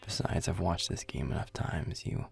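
A man's voice: low voice, normal speed, gravelly, faint music behind. A man playing a grumpy, defensive werewolf boyfriend in a roleplay audio, muttering sarcastically as he plays a video game. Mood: grudging. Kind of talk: roleplay audio, gaming chat with listener.

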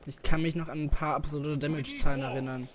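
Excitable gamer joking with a friend. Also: male voice, a little high, fast, crowd noise. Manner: excitable, jokey, bursts into yelling during fights. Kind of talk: casual gaming chat.

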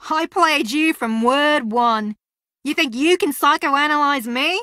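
A young woman competing on a reality show in a video game, boastful and theatrical. Her voice is fairly high and very bright, with a sassy, combative edge. The sound is dry. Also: unhurried, no echo. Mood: haughty, defiant.

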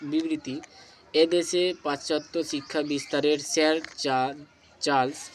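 A man takes students through exam answers. He speaks in deliberate, broken-up phrases and stresses each answer. There is faint noise behind him in an amateur recording. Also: a little high, rough recording. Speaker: exam tutor, channel host. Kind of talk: reading out exam questions and answers as a lesson.

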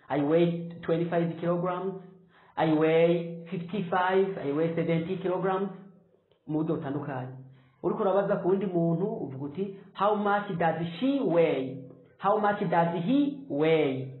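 Male teacher in his 30s, high voice, slow drilled phrases, phone-like echoey room recording. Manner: slow, drawn-out model phrases. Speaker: English teacher. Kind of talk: whiteboard language lesson. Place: small room with whiteboard.

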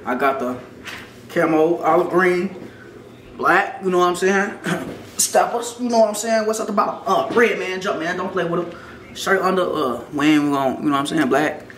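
A man's voice: high voice, normal speed, a bit bright. A young man talking casually at home in laid-back slang, often adding "you know what I'm saying". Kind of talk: casual chat. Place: apartment, indoors. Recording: echoey room with background noise.

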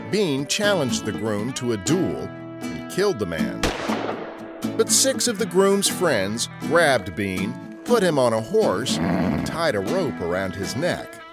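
A man tells scripted history as a podcast narrator. His mid-pitched voice rises and falls in an animated way, with guitar music clearly playing underneath.

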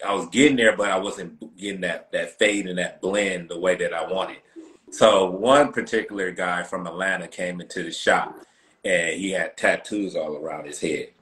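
A man's voice: sits low, a bit bright, echoey room. A man hosting a casual live chat over a phone video call, laid-back and full of fillers. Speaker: host.

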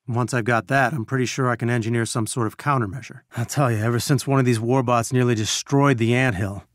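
An actor playing an earnest, brainy scientist and weary resistance leader, giving articulate, measured mission briefings in a video game. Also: male voice, medium pitch, no room sound, clean studio sound, lightly acted.

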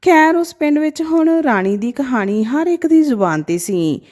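A woman narrating a scripted emotional moral story as a storyteller, with an expressive, dramatic delivery. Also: high-pitched, moderate pace.

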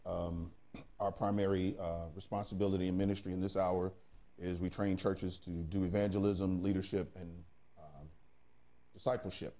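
A man's voice: sits low, flat delivery, normal speed, warm tone. A middle-aged man introducing himself as a pastor on a panel show. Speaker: pastor, talk-show guest. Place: indoor TV studio, seated.

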